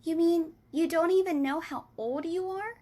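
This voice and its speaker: A woman's voice: normal speed, warm tone. A woman voicing a polite, curious little girl in a storybook reading, with a raised, questioning voice. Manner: higher, questioning, earnest.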